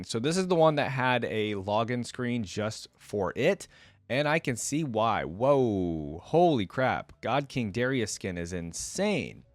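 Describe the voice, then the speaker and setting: Casual reaction guy with swooping, lively pitch. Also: no room sound. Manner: slangy, thinks aloud, hedging opinions as he goes. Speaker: reaction video host.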